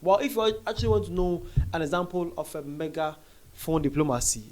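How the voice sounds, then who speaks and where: African guy with a slightly high voice doing casual news talk, chatty, repeats himself, speaks right to viewers. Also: fairly quick. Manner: conversational, repeats phrases, addresses viewers directly. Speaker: host of an African news commentary channel. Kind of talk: casual news commentary to camera.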